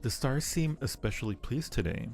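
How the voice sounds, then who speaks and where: A man's voice: mid-range voice, animated, normal speed, creaky, darkish tone. A man live streaming a game, musing aloud and repeating himself as he reads text and comments. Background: background music.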